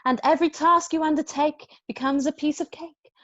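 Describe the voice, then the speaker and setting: A woman playing a brisk, unflappable, firm yet playful nanny, crisp and commanding, in a musical play read-through. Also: sits high, steady tone, fairly bright tone, lo-fi sound. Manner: crisp, commanding, matter-of-fact.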